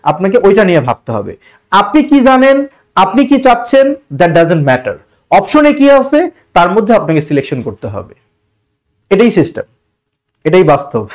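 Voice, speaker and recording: A man's voice: high voice, darkish tone. A man with a Bangladeshi accent teaching an exam-prep lesson in a colloquial way, asking rhetorical questions and repeating key phrases. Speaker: online English grammar teacher.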